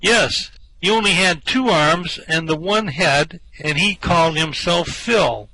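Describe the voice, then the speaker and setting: A man's voice: a little high, measured pace, bright, forceful tone, no room sound, low-quality recording. A man playing an indignant, jilted ordinary Englishman in a radio play, aggrieved and insistent. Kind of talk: amateur radio play acting.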